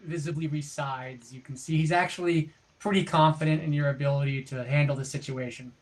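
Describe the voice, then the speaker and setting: Male game master, higher-than-average pitch, improvising narration and thinking aloud as he goes. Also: steady tone, brisk.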